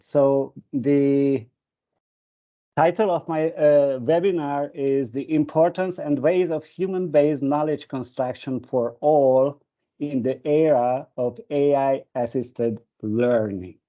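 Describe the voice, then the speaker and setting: A man's voice: mid-range voice, dark tone. Hesitant Hungarian man on a muffled call. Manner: hesitant, many fillers and restarts. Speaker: webinar presenter. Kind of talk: presentation with an anecdote. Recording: muffled video call audio.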